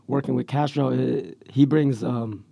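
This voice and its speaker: A man with a raspy voice, talking slangy and laid-back as a rapper guest in a casual interview, with lots of fillers. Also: mid-range voice, steady tone, measured pace, warm tone. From Central Valley, California.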